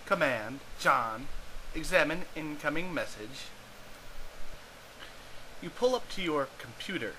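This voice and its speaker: A man's voice: fairly high pitch, pushed and bright. A man reading scripted narration aloud in a theatrical, dramatized way as an amateur storyteller. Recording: clearly noisy amateur home recording.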